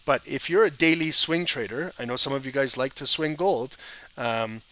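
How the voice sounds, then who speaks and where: A man with a cold and a hoarse voice, explaining trading charts to viewers in a casual, conversational way. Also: medium pitch, moderate pace, sharp tone. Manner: conversational, addresses viewers directly. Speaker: trading educator. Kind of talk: live-streamed chart analysis.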